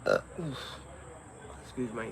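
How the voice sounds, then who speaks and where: A man's voice: mid-range voice, huge pitch swings, a little slow, creaky, noisy background. An Australian man in his fifties chatting on a casual livestream, hesitant and rambling with many ums. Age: 50s. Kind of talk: casual live-stream chat. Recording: crickets and insects behind.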